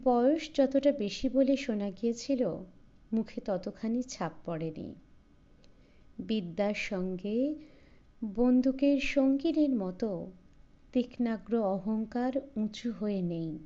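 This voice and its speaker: Slow, mellow woman narrating a story. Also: a little high, highs cut off. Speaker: audiobook narrator. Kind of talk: scripted literary story narration.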